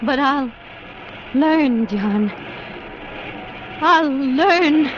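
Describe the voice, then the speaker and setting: A muffled, noisy vintage radio recording of a fragile young American woman. She speaks very slowly and hesitantly in a high voice, with the fearful delicacy of a 1940s radio heroine. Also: lively intonation, full character voice. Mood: subdued, halting. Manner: halting, hesitant. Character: frightened, fragile young woman finding quiet courage. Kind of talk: 1940s radio drama acting.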